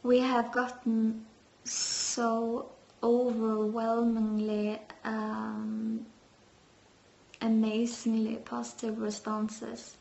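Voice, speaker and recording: A woman vocalist answering interview questions, halting with many fillers. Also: on the high side, completely flat delivery, creaky, a little mellow, slight background noise. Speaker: metal band vocalist. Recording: roomy, dull lo-fi sound.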